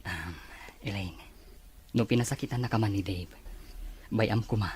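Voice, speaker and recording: Actor as patient filipino suitor, lowish voice, consoling, bit of background noise. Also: male voice, normal speed, darkish tone, lightly acted. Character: patient, devoted suitor consoling a hurt woman. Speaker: actor in a family drama. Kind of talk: naturalistic romantic drama dialogue.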